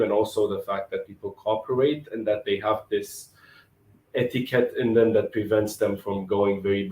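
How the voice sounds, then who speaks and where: A man in his twenties from Romania, answering interview questions in a relaxed, thoughtful way and often repeating words as he thinks. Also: lowish voice, reverberant, low-quality recording. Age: 20s.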